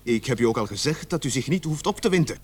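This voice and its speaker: An actor in a radio play, a man playing a calm police interrogator who is measured, dryly sceptical and insinuating. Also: medium pitch, slightly rough. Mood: calm, prodding. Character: calm, probing, insinuating male police officer interrogating suspect.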